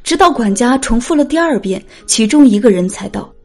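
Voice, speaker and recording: A woman's voice: fairly high pitch, lively intonation, a little fast, a little mellow. A woman reading a dramatic scripted story as an audiobook narrator.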